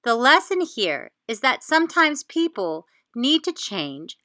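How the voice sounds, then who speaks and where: A woman teacher presenting a step-by-step lesson for children, with deliberate, encouraging delivery. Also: high voice, sing-song, pushed and bright. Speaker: teacher presenting an educational show.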